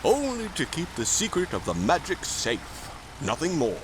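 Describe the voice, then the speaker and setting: A man playing a kindly, forgiving old Santa Claus in an audio drama, speaking in a measured, formal, avuncular way. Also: fairly high pitch, wildly swooping pitch, noisy background. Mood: grateful.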